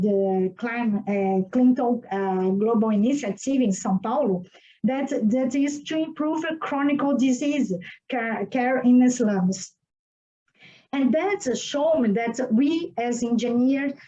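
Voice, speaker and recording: Woman, 40s, from Brazil; halting podcast guest, highish pitch, muffled lo-fi audio. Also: warm tone. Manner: halting, many fillers, non-native phrasing. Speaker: guest engineer. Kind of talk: podcast interview. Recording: dull lo-fi audio.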